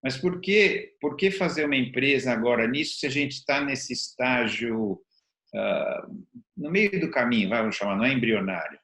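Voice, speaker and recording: A man's voice: medium pitch, animated, moderate pace, a bit bright. A man with a Brazilian accent, hosting an interview with informal, meandering questions. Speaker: interview host. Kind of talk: conversational interviewing. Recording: roomy, echoey sound.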